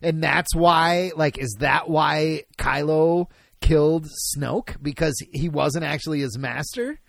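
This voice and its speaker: Excitable high-pitched podcast co-host. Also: male voice, takes it slowly, creaky, no room sound, clean studio sound. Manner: excitable, laughs often, animated. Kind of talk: casual podcast banter.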